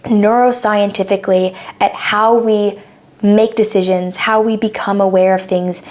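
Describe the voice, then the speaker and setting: A woman's voice: medium pitch, steady tone, a little slow, a little mellow. A woman in her twenties, co-hosting a podcast and explaining ideas in a thoughtful, casual chat. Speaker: podcast co-host.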